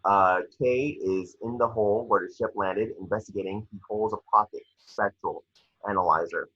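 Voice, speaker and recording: Husky low-voiced man reading script directions. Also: fairly even intonation, normal speed, fairly bright tone. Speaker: action description reader. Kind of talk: scripted narration for amateur table read. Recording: dull, lo-fi microphone sound.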